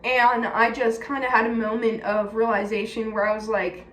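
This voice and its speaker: Higher-voiced Californian woman in an echoey room. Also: level tone, normal speed, a bit bright. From California, United States. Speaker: personal vlogger. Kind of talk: candid casual chat to viewers. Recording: echoey room with faint background noise.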